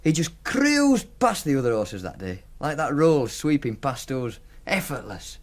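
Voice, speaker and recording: Cocky Yorkshire punter, animated. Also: male voice, medium pitch, measured pace. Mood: nostalgic, wistful. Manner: colloquial, storytelling, needling. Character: cocky, teasing Yorkshire racing punter running a betting scheme. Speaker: actor. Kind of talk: radio drama acting, monologue and dialogue.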